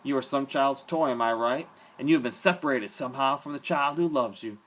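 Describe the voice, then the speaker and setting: A man voicing an enormous, bearded, gentle drifter who speaks in a formal, genteel and playful way. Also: medium pitch, fairly quick, pitched above the performer's own voice, lightly acted. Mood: genial.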